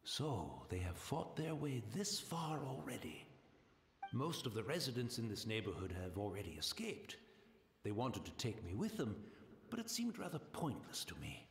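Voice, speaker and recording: A gentle blind old tea master. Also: male voice, mid-range voice, huge pitch swings, moderate pace, echoey room, slight background noise. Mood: calm. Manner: courteous, gentle, formal.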